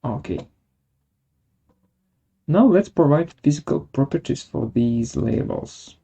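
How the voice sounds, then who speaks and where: Software tutorial presenter, unhurried and halting, gravelly mid-pitched voice with a dark tone. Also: expressive, dull audio. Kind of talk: step-by-step software tutorial narration.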